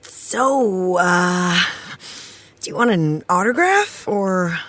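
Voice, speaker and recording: An actress playing a relaxed, teasing TV star, speaking casually in a naturalistic audio drama. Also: female voice, on the low side, drawn-out words, heavily acted. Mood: friendly, teasing.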